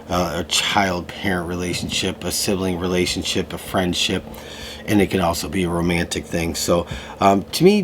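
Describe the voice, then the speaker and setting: A man giving a casual tarot reading to camera, slightly hoarse from a lingering cough, talking in a loose way with many fillers. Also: sits low, a little hiss or hum. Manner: relaxed, loose, full of fillers and restarts. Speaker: tarot reader. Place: room at home.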